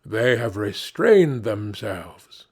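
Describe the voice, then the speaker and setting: A man voicing a shrewd talking lion in a storybook, explaining things in a measured, insistent way. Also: fairly low pitch, big pitch swings, breathy edge, voice pushed down, full character voice. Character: male talking lion, shrewd royal adviser.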